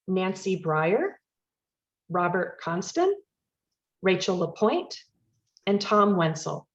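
A woman moderating a forum, reading prepared announcements in a measured, formal manner. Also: fairly low pitch, dull audio.

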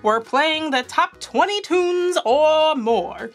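Woman as a showy high-pitched radio gadget. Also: lively intonation, slow, soft background music, exaggerated voice. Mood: showy, chirpy. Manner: showy broadcast patter. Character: chattering gadget radio announcer, cheerful and nonsensical.

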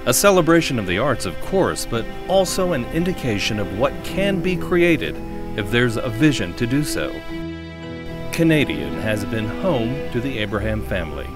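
A male television reporter delivers scripted feature narration. His voice sits at a medium pitch and moves in a lively, varied way, with prominent music underneath. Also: moderate pace.

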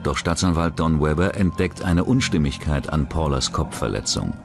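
Male documentary narrator, deep register, scripted crime narration, clear music bed. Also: lively intonation, moderate pace. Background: background music.

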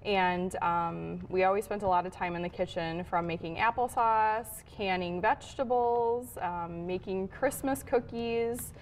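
A woman's voice: mid-range voice, measured pace, hardly any pauses, slight background noise. A woman in her thirties from Wisconsin, chatting casually as a home cook showing a recipe on TV. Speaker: home cook guest on a TV show. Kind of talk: casual cooking demonstration and chat. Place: studio kitchen set. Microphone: lapel mic.

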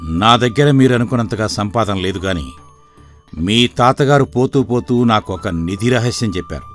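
A man playing a weary, worried but shrewd middle-aged village father in an animated moral story, speaking plainly and earnestly. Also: fairly low pitch, moderate pace, lightly acted. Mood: measured, persuasive. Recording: background music throughout.

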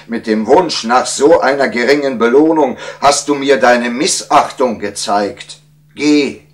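A man's voice: a bass voice, creaky, heavily acted. A man voicing a proud, impatient king in a storybook tale, imperious and emphatic. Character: proud, impatient Indian king, easily angered. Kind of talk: storybook character acting.

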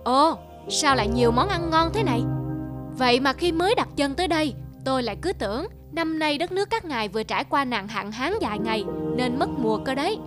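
An arrogant envoy from a mighty country in a cartoon folktale, acted by a woman. He is haughty and mocking, high in pitch, with music behind him. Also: expressive, fairly quick. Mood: slyly mocking.

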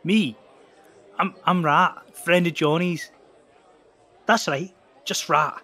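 Laid-back, informal young male slacker for audio drama, easily amused, drawn-out halting delivery. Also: on the high side, pushed and bright, heavily acted. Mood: casual. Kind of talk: audio drama testimony, casual.

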